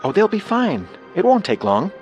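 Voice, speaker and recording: A man playing a flattering, persuasive teenage boy in a dramatised true-crime scene. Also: sits high, normal speed, over music. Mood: coaxing, persuasive. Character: smooth-talking teenage boy photographer luring girls at a beach.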